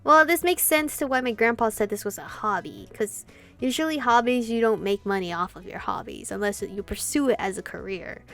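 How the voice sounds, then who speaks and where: A woman hosting a gameplay channel, reading dialogue aloud and commenting in an expressive way, free with swearing. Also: fairly high pitch, fairly quick. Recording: game music behind.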